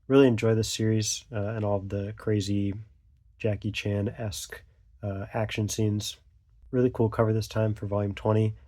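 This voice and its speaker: A man's voice: on the low side, dark tone. A young man hosting a casual unscripted vlog, a little stuffy from a cold, talking hesitantly with lots of fillers and half-finished thoughts. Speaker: channel host.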